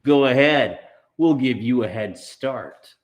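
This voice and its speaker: A man voicing a swaggering, menacing boy street bully in an audiobook, with loud, taunting, drawn-out challenges. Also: mid-range voice, animated. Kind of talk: audiobook character voice.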